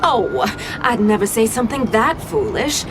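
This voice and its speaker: A woman's voice: fairly high pitch, lively intonation, normal speed, music in the background, close to an everyday voice. An actress playing a glamorous, self-assured female gang leader with a playful, mocking, flirtatious drawl. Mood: coy. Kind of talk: dramatic audio-drama acting.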